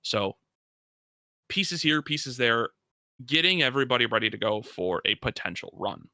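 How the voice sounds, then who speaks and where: A man's voice: mid-range voice, moderate pace, pushed and bright, no echo, clean studio sound. A man co-hosting a casual sports podcast, giving emphatic, opinionated analysis in slangy everyday language. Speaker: podcast co-host.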